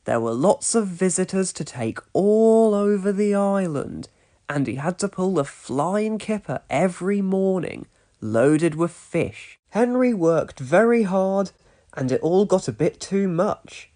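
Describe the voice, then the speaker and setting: A man giving an expressive, scripted narration of a children's storybook read-along. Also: sits high, a little slow.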